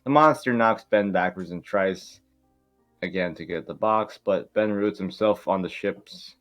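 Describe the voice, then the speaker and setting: Really deep voiced guy reading stage directions, informal amateur narration, faint music under it. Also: measured pace.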